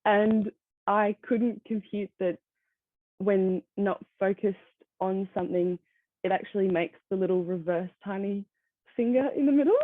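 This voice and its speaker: A seventeen-year-old woman giving a conference talk over a video call, soft-spoken with many ums and light laughs. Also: mid-range voice, no room sound. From Australia. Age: teens. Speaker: conference speaker. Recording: tinny phone-like line, dry.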